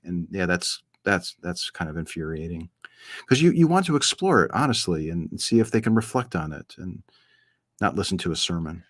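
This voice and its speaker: A man's voice: low-pitched, expressive, normal speed, no room sound, clean studio sound. A man who does street interviews, explaining his approach in casual conversation. He leans on "like" and acts out what people say. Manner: explanatory, uses "like" often and acts out quotes.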